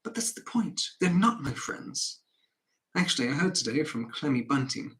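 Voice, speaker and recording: A man rehearsing the part of a hesitant, wistful wife in stage dialogue, tentative and gently protesting. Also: on the high side, big pitch swings, moderate pace.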